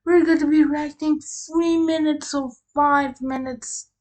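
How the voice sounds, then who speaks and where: A woman doing casual reaction commentary as a video host, halting and slangy in her delivery. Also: high-pitched, steady tone, darkish tone.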